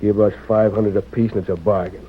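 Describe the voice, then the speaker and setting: An actor playing a sly, mercenary crook in an old radio western, with an American accent and sardonic folksy slang. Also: male voice, fairly low pitch, flat delivery, fairly quick, slightly rough. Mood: sly bargaining. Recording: thin, phone-like old radio broadcast.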